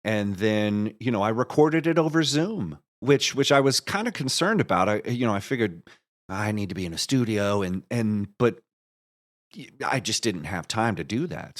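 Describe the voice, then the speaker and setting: Low-voiced man, rambling as he explains. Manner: rambling, thinks aloud with repeated false starts. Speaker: guest, writer and director of an audio musical. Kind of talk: casual interview answers, explaining a creative project.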